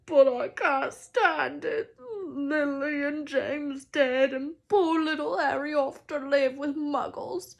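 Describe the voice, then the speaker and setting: A woman's voice: sits high, takes it slowly, gravelly, voice pushed up, heavily acted. A woman voicing a huge, shaggy, tender man who sobs as he speaks in a storybook read-aloud. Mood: tearful, pleading. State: sobbing.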